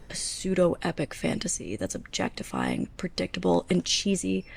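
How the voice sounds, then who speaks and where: Woman acting a creaky, breathy, sarcastic young librarian's assistant. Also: on the low side, a little mellow, exaggerated voice. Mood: scornful. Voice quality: breathy, creaky, often near whisper. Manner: sarcastic, exasperated, pointed delivery. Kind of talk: ASMR roleplay, close-up scripted monologue.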